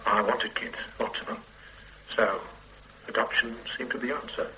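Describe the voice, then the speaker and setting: A man playing an older father on the run in a radio drama, soft and halting as he pleads with his grown daughter. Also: mid-range voice, fairly even intonation, slight background noise, close to an everyday voice, rough recording. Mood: wistful.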